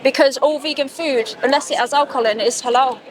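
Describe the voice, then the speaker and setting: A woman's voice: sits high, fast. A woman interviewing passersby outdoors, talking in an earnest, persuasive way and asking probing questions. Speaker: street interviewer. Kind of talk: street outreach conversation. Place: outdoors in a busy public street. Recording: outdoor street recording with crowd noise.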